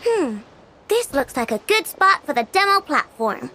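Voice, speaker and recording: A woman's voice: high voice, big pitch swings, a little slow, pushed and bright, slight background noise, exaggerated voice. A woman playing a confident, spirited and stubborn young girl inventor in an anime-style video game, sounding cocky and eager. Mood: proud, eager.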